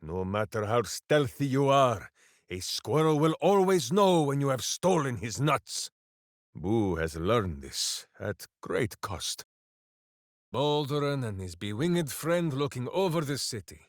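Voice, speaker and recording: An actor playing a big-hearted, simple-minded berserker ranger in a video game, declaiming grandly and earnestly. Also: male voice, mid-range voice, sing-song, measured pace, dry recording, studio quality. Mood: musing. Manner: grandiose third-person declamation, earnest and theatrical. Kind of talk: video game character voice lines.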